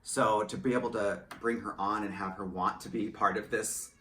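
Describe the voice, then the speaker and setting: A man's voice: fairly low pitch, steady tone, moderate pace, pushed and bright. An American man from rural Michigan talking candidly and with opinions in a casual interview. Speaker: audio drama writer, producer and drag performer. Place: indoor home studio. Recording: roomy, distant mic, faint background noise.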